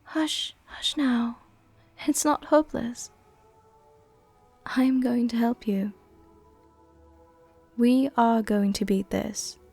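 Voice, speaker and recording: A woman playing a worldly, softly dominant vampire countess in an intimate roleplay ASMR, with a soft, gentle, tenderly commanding way of speaking. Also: on the high side, fairly even intonation, takes it slowly, gravelly, long pauses, faint music behind, full character voice. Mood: tender, comforting.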